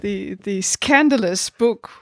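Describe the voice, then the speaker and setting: A woman from the Netherlands in a casual intellectual conversation, halting as she thinks aloud. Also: mid-range voice, dry recording, studio quality. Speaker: panel discussion participant.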